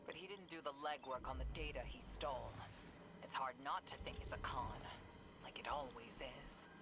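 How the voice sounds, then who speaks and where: A woman's voice: rumbling low, moderate pace, background noise. A woman playing a stern, skeptical officer in a video game, clipped and matter-of-fact. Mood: skeptical, irritated. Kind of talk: naturalistic scripted game acting.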